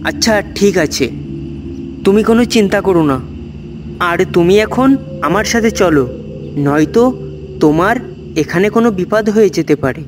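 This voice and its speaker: A man's voice: high-pitched, normal speed, long pauses, music in the background, close to an everyday voice. A man playing a kind, curious young villager in a cartoon ghost story, speaking with concern. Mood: reassuring. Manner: questioning, concerned.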